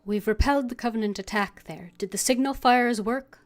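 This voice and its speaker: A woman playing a composed, willing adventurer hero in a fantasy video game, replying briefly and in a measured way. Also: a little high, close to an everyday voice.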